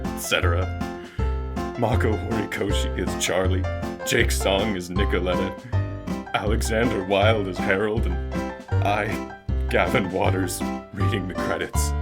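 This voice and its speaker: A low-voiced, anxious lost man, loud music. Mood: anxious, dutiful. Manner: halting, anxious. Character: frightened, lost man pleading to an operator. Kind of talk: audio drama acting. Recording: loud music bed under voice. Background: loud instrumental music.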